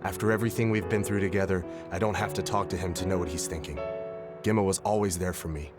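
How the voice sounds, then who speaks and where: Very deep, courteous bereaved brother played by a man. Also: steady tone, quick pace, close to an everyday voice. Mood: wistful, grateful. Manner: formal, polite, composed. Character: courteous, sorrowful bereaved brother, dignified and forgiving. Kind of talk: English video game voice acting. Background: loud background music.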